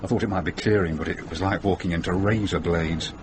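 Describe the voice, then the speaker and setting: An actor playing a big, gruff, teasing van driver with a wry, matey manner in a radio drama. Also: male voice, deep voice, fairly even intonation, fast, close to an everyday voice. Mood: eerie, relishing. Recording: noisy radio drama recording.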